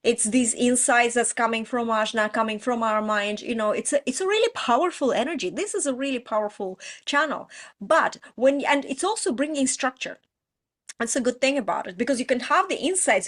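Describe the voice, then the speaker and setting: A middle-aged woman, a coach giving an earnest, informal astrology teaching talk with frequent restarts. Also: a little high. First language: Russian. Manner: earnest, explains with many restarts and "you know"s. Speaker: prosperity coach.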